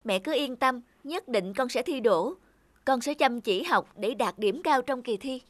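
A woman voicing an earnest, emotional schoolgirl who dreams of writing, in an animated cartoon. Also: on the high side, measured pace, dry recording. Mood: eager, determined.

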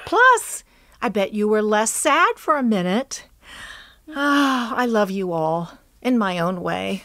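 A woman's voice: medium pitch, very animated, slow pace, a bit bright, no echo, studio quality, heavily acted. An actress playing Sheila, an outrageous older woman teasing her friends in a farewell video. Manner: mischievous, laughing, teasing. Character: brash dead woman Sheila, outrageous and charismatic. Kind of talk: radio play acting.

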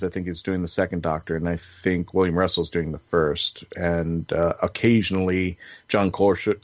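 A man with a low voice hosts a casual podcast panel. He speaks haltingly, often circling back to reword a question. The sound is dry and slightly dull. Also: no room sound. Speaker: podcast host.

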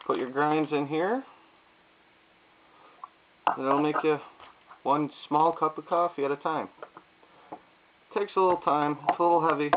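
Casting: man, plain unhurried talker with many filler pauses, slightly higher voice, thin tinny camera sound with faint hiss. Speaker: YouTube vlogger. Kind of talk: casual gear demonstration. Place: indoors at a wooden table.

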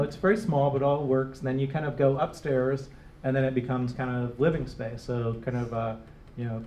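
A man's voice: medium pitch, warm tone. Rambling man on a roomy handheld mic. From Detroit. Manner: rambling, many fillers and restarts. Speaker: interviewed designer. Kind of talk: casual onstage interview. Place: small gallery room, live audience. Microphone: handheld microphone. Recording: roomy, distant, faint hiss.

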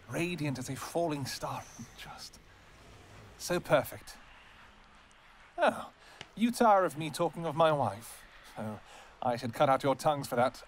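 A man as a doting vampire king. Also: medium pitch. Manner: tender and murmuring with his wife, commanding with troops. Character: doting royal vampire king, commanding and fiercely devoted. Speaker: audio roleplay performer. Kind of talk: audio roleplay.

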